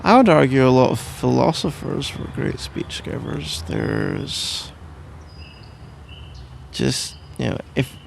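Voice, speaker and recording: A man's voice: mid-range voice, huge pitch swings, slow, vocal fry, a little hiss or hum, heavily acted. A man playing a sleepy, cuddly husband in an intimate ASMR roleplay, drowsy, affectionate and rambling, with playful melodrama. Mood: musing, rambling.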